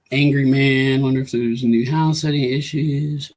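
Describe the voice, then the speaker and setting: A hoarse man with a Midwestern American accent, fighting a cold and clearing his throat as he hosts a casual live show, rambling with lots of fillers and reading viewer comments. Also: medium pitch, a little mellow. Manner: rambling, many fillers like "you know" and "uh". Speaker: ghost hunting talk show host.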